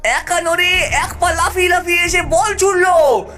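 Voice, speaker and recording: A woman voicing an excitable cartoon match commentator with dramatic announcing. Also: very high voice, unhurried, over music. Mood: excited.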